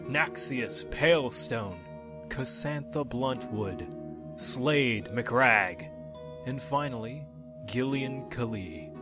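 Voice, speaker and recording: A man playing a grandiose tavern storyteller who announces heroic legends in a declamatory, showmanlike way. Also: mid-range voice.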